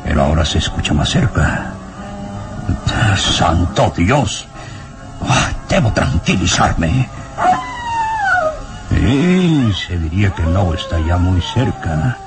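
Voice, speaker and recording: An actor playing an anxious elderly professor in an old melodramatic radio serial, talking to himself in gasps and exclamations. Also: male voice, low-pitched, big pitch swings, over loud music, exaggerated voice. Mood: nervous, agitated. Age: older. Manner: gasping, halting self-talk with exclamations.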